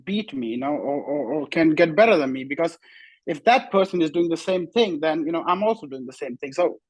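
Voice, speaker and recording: A young man whose first language is Pashto, a bodybuilder telling his story in a relaxed interview and often saying "you know.". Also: on the high side, brisk, no room sound, low-quality recording. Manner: relaxed, repeats "you know" often, simple non-native phrasing. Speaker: bodybuilding competitor, guest. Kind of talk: casual interview talk.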